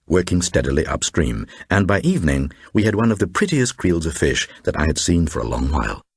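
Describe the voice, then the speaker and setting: A very deep male voice, playing a grave traveller recounting an eerie radio tale. The delivery is deliberate and suspenseful, swinging widely in pitch, with a distinctly husky grain. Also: dry recording, studio quality, exaggerated voice. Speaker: narrator. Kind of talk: dramatised first-person reading of a supernatural horror tale for radio.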